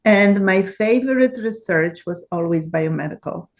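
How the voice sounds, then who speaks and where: A woman's voice: medium pitch, lively intonation, normal speed. A woman in her seventies from Poland with a Polish accent, answering interview questions earnestly with many fillers and restarts. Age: 70s. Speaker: guest author.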